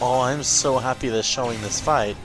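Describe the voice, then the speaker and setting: A man's voice: medium pitch, moderate pace, low-quality recording. A man giving casual reaction commentary over game cutscenes, explaining and musing as he goes. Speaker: let's watch host. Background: game music behind the voice.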